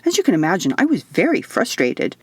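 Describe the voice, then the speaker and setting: A woman voicing a bubbly, excitable, naively earnest otherworldly woman in an audiobook, gushing and emphatic. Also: medium pitch, brisk, husky, dry recording.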